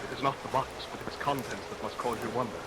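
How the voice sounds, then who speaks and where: An actor playing a blunt, easily indignant gentleman customer, declaiming in old-time radio melodrama style. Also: mid-range voice, fast, close to an everyday voice. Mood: confiding. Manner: emphatic, declamatory. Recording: noisy vintage radio recording, rain over it. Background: steady rain.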